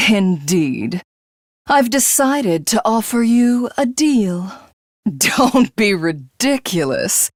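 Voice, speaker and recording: A woman's voice: medium pitch, no room sound, clean studio sound. An actress playing an arrogant, power-hungry alien warrior woman in a video game, with a haughty, grandiose and theatrical delivery. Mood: haughty, menacing. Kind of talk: video game character voice acting.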